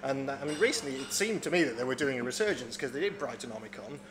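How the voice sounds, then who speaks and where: A man's voice: medium pitch, expressive, racing. A man co-hosting a podcast, explaining things in casual, slightly hesitant chat. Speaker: podcast co-host. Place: pub in Brighton. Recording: busy pub crowd behind voice.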